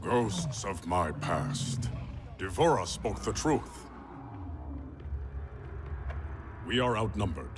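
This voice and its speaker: An actor playing a noble, grave warrior emperor in a video game cutscene, speaking formally and solemnly. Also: male voice, lowish voice, very animated. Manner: formal, solemn, measured delivery. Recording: game music behind the voice.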